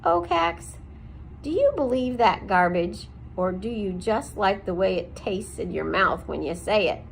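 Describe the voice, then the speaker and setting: A gruff old male porcupine from a storybook, put on by a woman reading aloud, blunt and loud and a little teasing. The voice moves a lot and the delivery is slow and deliberate. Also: medium pitch, animated, slight background noise, poor audio. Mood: confronting.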